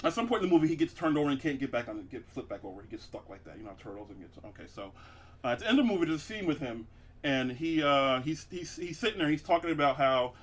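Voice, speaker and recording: Bright-voiced rambling podcast host, man. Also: a little high, sing-song, fast. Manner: rambling, laughing, many fillers and restarts. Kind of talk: casual comedic chat.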